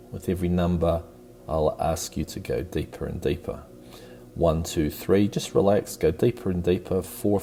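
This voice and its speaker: A man with a deep, mellow voice guides a meditation over background music. He gives slow, soothing instructions in a gentle and encouraging way, never hurried. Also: creaky.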